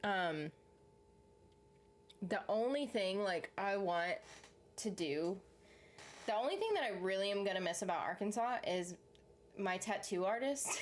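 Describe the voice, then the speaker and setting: A woman's voice: mid-range voice, a little hiss or hum. Chatty woman with a Southern accent. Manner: chatty, rambling, answers chat comments. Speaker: YouTube reaction host. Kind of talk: casual live chat with viewers.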